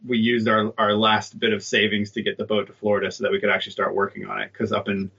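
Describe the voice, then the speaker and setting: A man's voice: lowish voice, monotone, quick pace, vocal fry, pushed and bright, husky. A man in his thirties, a guest telling stories in an easygoing, self-deprecating way. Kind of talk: conversational interview. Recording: dull remote line.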